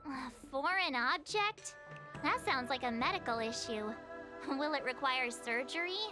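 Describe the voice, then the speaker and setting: A very high, girlish voice as an excitable teenage adventurer in an anime-style game. Her delivery swings up and down, chatty and naive, turning whiny when flustered. Prominent music plays behind her. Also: measured pace. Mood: curious, chatty.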